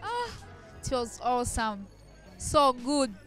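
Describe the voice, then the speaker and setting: A woman's voice: sits high, big pitch swings, slow pace, a bit bright, faint music behind, some chatter behind. A seventeen-year-old girl from Tanzania, a young reporter, speaking hesitantly. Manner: hesitant, simple phrasing.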